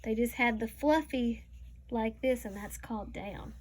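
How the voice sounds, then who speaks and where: A woman teacher reading a picture book aloud to young students, slowly and deliberately, stretching words and adding teaching asides. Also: a little high, darkish tone, slight background noise.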